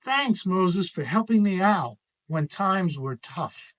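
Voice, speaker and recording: A man's voice: sits high, expressive, slow, a bit bright, exaggerated voice. A man voicing a friendly, grateful barber in a children's picture book.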